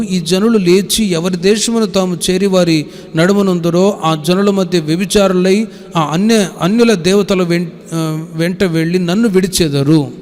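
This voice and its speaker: Male preacher, high register, instructive scripture exposition with repeated phrases, heard with long hall reverb. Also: a little mellow. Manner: didactic, repeating phrases and citing verses. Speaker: pastor. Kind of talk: sermon with scripture reading and explanation.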